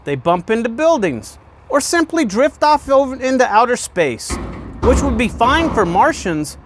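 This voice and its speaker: A man reading a children's picture book aloud in an expressive storytelling way, with emphatic pauses and questions put to the listener. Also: very high-pitched, slight background noise. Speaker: guest reader, fire department deputy chief.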